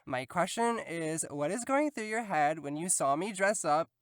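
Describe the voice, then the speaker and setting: A woman's voice: fairly low pitch, animated, moderate pace, no room sound, clean studio sound. A woman chatting casually as a guest, reading out a fan question. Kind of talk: casual chat.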